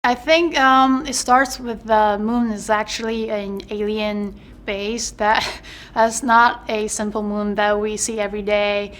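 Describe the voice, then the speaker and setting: A woman's voice: mid-range voice, steady tone, measured pace, a bit bright. A young woman, answering interview questions in a chatty way with many fillers and restarts. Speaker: actress interviewed about her film role.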